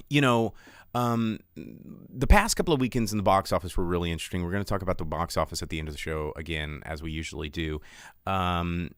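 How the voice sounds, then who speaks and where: A man's voice: deep voice, a bit bright, no room sound, clean studio sound. A man hosting a live talk show, giving casual, opinionated commentary in a slangy, sweary way and acting out imagined quotes.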